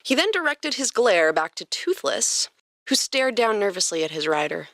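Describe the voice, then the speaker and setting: A woman hosting a podcast and reading a story aloud as expressive scripted narration. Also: mid-range voice, normal speed, no echo, clean studio sound. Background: music.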